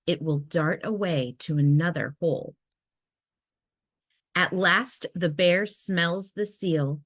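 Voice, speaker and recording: A woman reading a children's nonfiction picture book aloud in a measured, expressive storytelling way. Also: fairly low pitch, no room sound. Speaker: read-aloud narrator.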